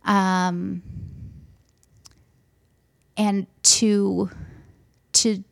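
A young woman speaks with a soft, gentle presence, taking her time over each thought. A light gravelly fry runs under her words, and she pauses often to find them. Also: mid-range voice, level tone. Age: young adult. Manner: soft-spoken, gentle, hesitant with many ums. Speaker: pastor. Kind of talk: interview conversation.

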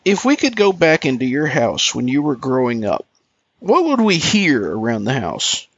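Male interview host with lively pitch swings. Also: moderate pace, husky, dry recording, lo-fi sound. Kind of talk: scripted introduction and friendly interview questions.

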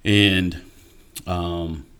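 A man's voice: low voice, fairly even intonation, drawn-out words, fairly bright tone, clean tone. A man explaining things in a casual, earnest, informal way, often checking in with the listener. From Haitian and Dominican background. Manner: earnest, informal, checks in with "right?" and "you know". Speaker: interview guest.

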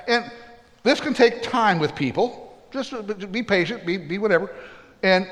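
A man's voice: high-pitched, fairly bright tone, in a large hall. A man preaching a sermon as pastor, earnest and plainspoken, with folksy humorous asides.

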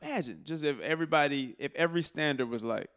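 A man's voice: a little high, expressive, quick pace, fairly bright tone, smooth. An American man in casual podcast conversation, talking in slangy, sweary bursts and repeating himself for emphasis. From born in Brooklyn, from Atlanta, US. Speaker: podcast guest, rapper and lawyer.

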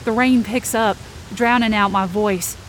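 A woman's voice: medium pitch, steady tone, measured pace, slight background noise, poor audio. A woman performing scripted first-person fiction narration as a tense, searching inner monologue.

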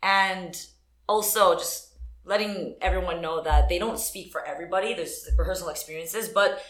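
A woman co-hosting a casual podcast, frank and quick to react. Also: fairly low pitch, reverberant. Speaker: podcast co-host. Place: coworking room.

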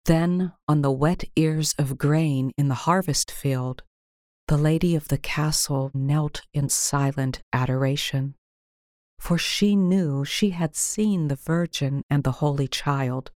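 A woman narrating a scripted storybook tale in a measured, gentle storytelling manner. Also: sits low, no echo, clean studio sound. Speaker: story reader.

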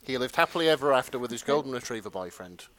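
A man, co-hosting a casual podcast, chatty and quick to laugh. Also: medium pitch, expressive, fairly bright tone. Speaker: podcast co-host.

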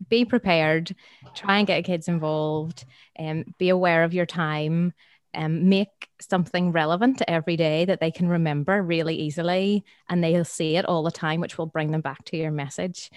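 A woman's voice: fairly low pitch, fairly even intonation, moderate pace, dry recording. A woman with a Northern Irish accent, a schools worker guest, giving practical tips in a friendly podcast chat with many ums.

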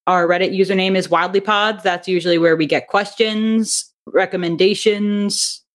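A young woman with a mid-range voice talks as a guest in a relaxed interview. She is chatty and a little giggly, speaking in an informal, conversational way. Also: mid-range voice, moderate pace. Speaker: interview guest, audio drama writer and director. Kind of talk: casual interview chat.

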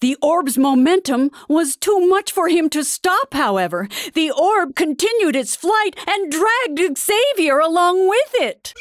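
Slow, very expressive woman storyteller. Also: sits high, slight background noise. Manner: dramatic, deliberate storytelling. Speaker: narrator. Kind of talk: scripted storybook narration for audio drama. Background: background music.

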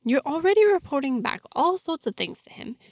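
Woman reading as prickly guarded fantasy heroine, prodding, very up and down pitch, dry recording. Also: big pitch swings, brisk, no room sound. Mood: indignant. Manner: prodding, easily annoyed. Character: guarded, indignant woman, daughter of a powerful father.

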